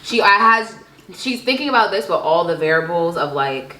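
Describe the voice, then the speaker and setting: A woman's voice: on the low side, animated, normal speed, reverberant, crowd noise, low-quality recording. A woman co-hosting a vlog in casual chat, supportive and agreeable. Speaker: co-host in a vlog.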